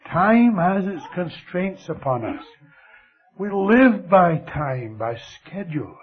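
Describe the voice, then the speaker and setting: An older man with a Scottish accent preaching a sermon, slow and expressive, letting his voice fall very quiet at the ends of phrases. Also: sits high. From Scotland. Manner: slow, lively delivery with dramatic drops to near whisper. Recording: thin phone-like radio broadcast.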